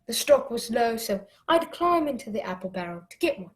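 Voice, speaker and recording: A woman's voice: on the high side, very animated, brisk. A woman playing an eager boy sailor who earnestly narrates a sea adventure in a radio play. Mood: suspenseful.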